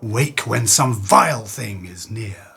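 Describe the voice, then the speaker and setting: An actor playing a vengeful fairy king, chanting a spell in deliberate, menacing verse for a Shakespearean radio play. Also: lowish voice, gravelly, pushed and bright, heavily acted. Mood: sinister, incantatory. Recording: faint music under the voice.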